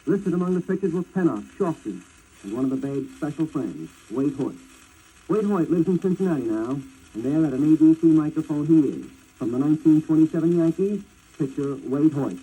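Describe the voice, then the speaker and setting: A man's voice: fairly high pitch, expressive, fairly quick, dark tone, breathy edge. An American man announcing from a script in declamatory 1940s radio style, on a muffled, noisy old disc recording.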